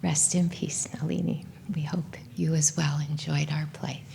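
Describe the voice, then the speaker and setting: A woman's voice: deep voice, flat delivery, unhurried, creaky, mellow. A woman play director giving a curtain speech of thanks to an audience. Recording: live event with music and audience noise. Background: music and audience.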